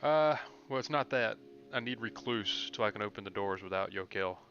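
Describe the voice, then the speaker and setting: A man's voice: fairly low pitch, moderate pace, fairly bright tone, highs cut off, faint music behind. A man giving casual gameplay commentary as a streamer, thinking aloud in an informal, slangy way. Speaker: game streamer.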